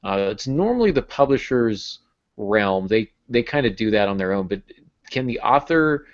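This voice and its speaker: A man's voice: on the low side, very animated, normal speed. An American man in his thirties casually interviewing as a podcast host over a video call. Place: home room with bookshelves. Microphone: video call with earbuds. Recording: dull video-call audio.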